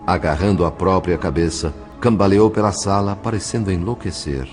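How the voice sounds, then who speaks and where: A man's voice: low voice, moderate pace, darkish tone, soft background music, lightly acted. A male actor narrating solemnly and dramatically as a spirit in a Brazilian audio drama, with a Brazilian accent. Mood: grave, dramatic.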